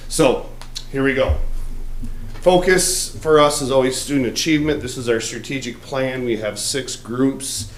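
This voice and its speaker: A man's voice: mid-range voice, measured pace. A man speaking as a school superintendent, giving a plainspoken report to a township board. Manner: loud, plainspoken presenter. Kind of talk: public meeting presentation. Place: township board meeting room. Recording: echoey room with faint noise.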